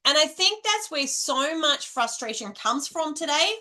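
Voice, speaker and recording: Bright, high-voiced Australian woman coach. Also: expressive, a little slow. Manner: chatty, ends nearly every point with "right?". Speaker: direct selling coach. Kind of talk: casual coaching monologue to camera.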